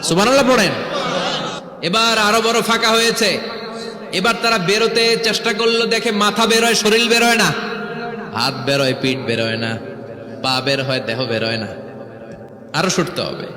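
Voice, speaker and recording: A man's voice: sits high, animated, normal speed, long pauses, a crowd in the background. A man preaching a dramatic storytelling sermon, acting out dialogue, repeating phrases and calling on listeners to respond. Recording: echoey hall with long reverb.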